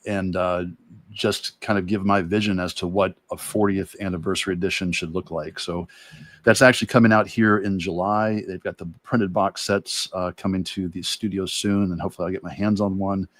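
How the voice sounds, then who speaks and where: A man with a low voice, recorded close and dry, talks casually as an illustrator explaining his process. He is reflective and thinks aloud, restarting phrases as he works toward his point. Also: fairly even intonation, moderate pace, dry recording. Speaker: guest illustrator and art teacher. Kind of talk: casual explanatory livestream talk.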